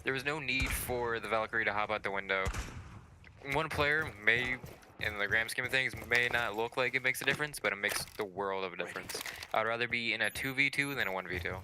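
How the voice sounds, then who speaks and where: A man's voice: medium pitch, brisk, background noise. A man working as an esports analyst, giving conversational, opinionated commentary on a live video game match.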